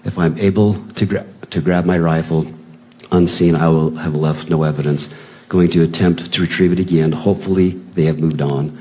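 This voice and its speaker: A man's voice: low-pitched, steady tone, moderate pace, dark tone. A man reading written messages aloud in a plain, unacted way, as in testimony at a hearing.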